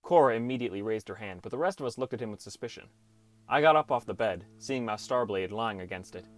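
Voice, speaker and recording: A wry teenage hero, played by a man narrates a fantasy game audiobook in the first person. His delivery is understated and downcast, in a fairly low voice. Faint music runs beneath it. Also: expressive, fast talker, dry recording, close to an everyday voice. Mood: reflective narration.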